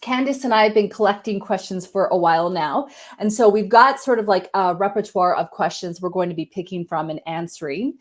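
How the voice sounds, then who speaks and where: A woman hosting a live-streamed chat, a little husky from a cold, talking in an upbeat, chatty way. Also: medium pitch, brisk. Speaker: host.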